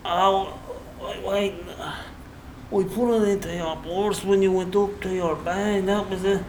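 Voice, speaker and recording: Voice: medium pitch, fairly even intonation, a little slow, lightly acted. An actor playing a wheedling, cowardly street chancer in a drama, with an Irish Dublin accent and a colloquial, pleading way of talking. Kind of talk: acted dramatic sketch. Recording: echoey, noisy recording with ambience.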